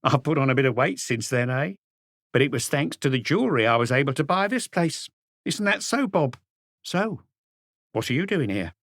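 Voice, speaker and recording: A man's voice: mid-range voice, expressive, normal speed, a bit bright, no echo, clean studio sound, pitched above the performer's own voice. A man voicing a plump, gossipy middle-aged woman who runs a café, in an audiobook reading. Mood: surprised, chatty. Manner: chattering, scornful, indignant.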